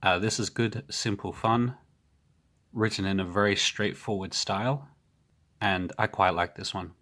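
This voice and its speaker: Man with a cold, deep voice, unhurried delivery; casual book-review host, plain-spoken with ums and hedges. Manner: relaxed, plain-spoken, with fillers and hedging asides. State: has a cold.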